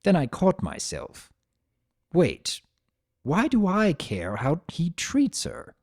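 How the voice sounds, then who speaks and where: A man voicing a grumpy, possessive, secretly tender chaos creature who narrates his own story in a sardonic, expressive dramatic reading. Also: fairly high pitch, takes it slowly, no room sound, studio quality, voice pushed up, exaggerated voice. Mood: sour, angry. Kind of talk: first-person dramatic reading of fan fiction.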